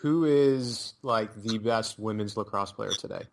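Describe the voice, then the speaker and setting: A man co-hosting a sports talk show, asking halting, rambling questions in a casual way. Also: fairly low pitch, vocal fry. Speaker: podcast co-host. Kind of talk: casual interview chat.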